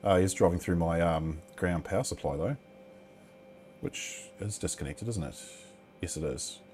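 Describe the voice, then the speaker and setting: Low-voiced Kiwi man rambling through a flight. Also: normal speed, a little mellow, a little hiss or hum. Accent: New Zealand. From New Zealand. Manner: rambling, many ums, mildly sweary. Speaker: flight sim streamer. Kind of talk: casual gameplay commentary. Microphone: headset.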